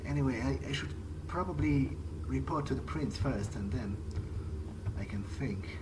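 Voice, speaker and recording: A man speaking in character through a game playthrough, halting and often repeating himself. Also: medium pitch, background noise. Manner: hesitant, halting, repeats words. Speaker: role-playing gamer. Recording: loud music behind.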